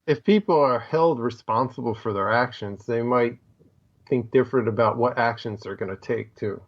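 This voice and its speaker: A man chatting casually as a podcast co-host, agreeable and quick to chime in. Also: medium pitch. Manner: agreeable, brief interjections. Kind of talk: casual chat. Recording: slightly dull, lo-fi sound.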